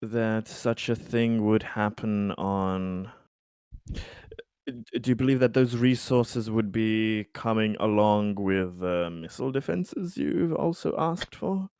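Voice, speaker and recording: A man playing a tabletop roleplaying game, talking partly in character in a hesitant, halting way. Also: lowish voice, darkish tone, clean tone, no echo, clean studio sound. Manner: hesitant, halting, repeats himself.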